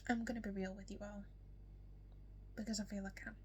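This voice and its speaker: A young woman speaks with a strong Yorkshire accent in a casual, whispery get-to-know-me vlog, full of ums and the odd hiccup. Her pitch stays flat and even. A faint noise sits under her voice. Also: brisk. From West Yorkshire, England. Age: young adult. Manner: soft, frequently whispery delivery with many ums. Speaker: vlogger.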